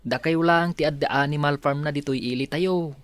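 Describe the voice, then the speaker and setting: A woman's voice: sits low, moderate pace, close to an everyday voice. A woman with an Ilocano accent playing a teasing, nosy village neighbor in a radio drama.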